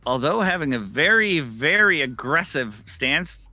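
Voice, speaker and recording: Animated, bright-voiced male esports commentator. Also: fairly high pitch, unhurried, no room sound. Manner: emphatic, repeats phrases for effect. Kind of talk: live game commentary.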